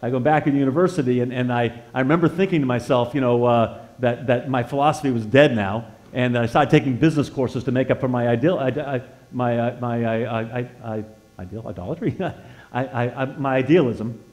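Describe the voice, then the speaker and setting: An American man in his sixties giving an informal sermon with personal testimony as a guest preacher in a church, conversational and halting, repeating words as he feels his way and putting questions to his listeners. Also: medium pitch, steady tone, darkish tone. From northeastern United States. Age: 60s. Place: church sanctuary, indoors, at a lectern.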